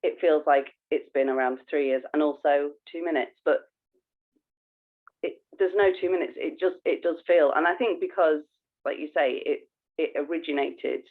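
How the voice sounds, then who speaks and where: A woman's voice: deep voice, normal speed. A woman co-hosting a podcast in casual chat, informal and thinking aloud. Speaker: podcast co-host. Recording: thin, narrow phone-like line.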